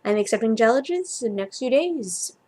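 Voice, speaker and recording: A woman with a fairly high voice reads a story and chats informally as an amateur fan fiction reader. Her manner is giggly and self-deprecating. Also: animated, normal speed, mellow. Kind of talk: amateur story read-aloud and casual chat.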